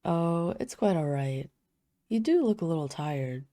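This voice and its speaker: Woman acting as a poised vampire lady, deep feminine voice, soft-spoken, flirtatious, close dry sound, audio roleplay. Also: very animated, measured pace, dry recording. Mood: gentle, admiring. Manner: soft-spoken, teasing, smooth formal phrasing. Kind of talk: intimate scripted audio roleplay addressed to the listener.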